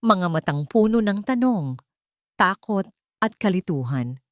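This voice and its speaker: A woman's voice: mid-range voice, lively intonation, a little slow, no room sound, studio quality. A woman narrator reading a scripted dramatic love story.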